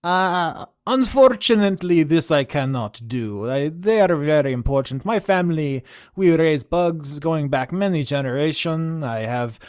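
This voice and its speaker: A man's voice: on the high side, expressive, measured pace, dry recording, clean studio sound. A man voicing a goofy, overconfident transfer student from a far-off ocean, with a Ukrainian accent and broken grammar. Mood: eager. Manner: earnest, rhapsodic, broken grammar.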